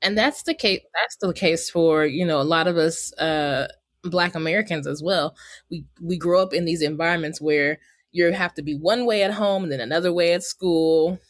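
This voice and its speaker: A woman's voice: on the low side, moderate pace, no echo, studio quality. A woman hosting a podcast interview, chatty and upbeat, laughing easily as she talks with her guest. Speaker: podcast host. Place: Bay Area.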